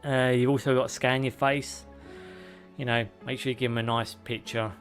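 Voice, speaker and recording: A man streaming a game, reading lore aloud with sarcastic asides, his voice going hoarse and a little out of breath. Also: medium pitch, flat delivery, normal speed. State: slightly out of breath. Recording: faint music behind.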